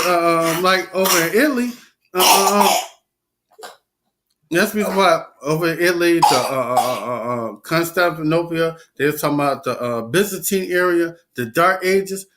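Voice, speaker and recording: A man's voice: high-pitched, fairly bright tone, slight background noise. A man reading scripture aloud and preaching in a casual talk, stumbling, repeating words and filling gaps with "uh". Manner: halting, many repeats and fillers.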